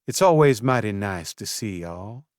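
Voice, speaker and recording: A man's voice: lowish voice, lively intonation, a little slow, no room sound, clean studio sound. A man voicing a cheerful young woman florist with a Southern US drawl, folksy and chatty. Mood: friendly.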